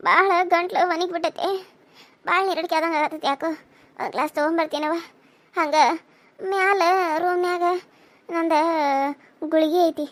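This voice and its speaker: Woman playing a frail old mother-in-law. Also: very high-pitched. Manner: pleading, sighing, drawn-out complaints.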